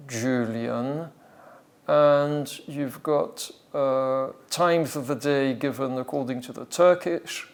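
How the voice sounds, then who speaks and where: A man giving an academic talk off the cuff, hesitant and halting as he thinks aloud. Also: mid-range voice. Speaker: academic lecturer. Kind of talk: academic talk with extempore explanation.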